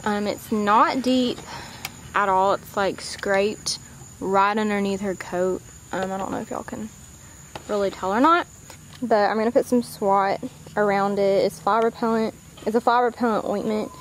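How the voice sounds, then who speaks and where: A woman's voice: medium pitch, normal speed, slight background noise. A woman, chatting casually on a handheld camera at an outdoor horse barn, full of "um" and "like". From Southern US. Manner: chatty, filler-heavy, baby talk to her horse. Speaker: vlogger. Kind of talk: casual vlog narration.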